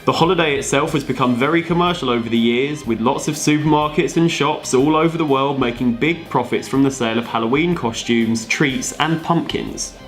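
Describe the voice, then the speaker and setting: A man's voice: medium pitch, a little fast, hardly any pauses, soft background music. A man co-presenting a scripted explainer with banter, playing it dry as the straight man. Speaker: video co-presenter.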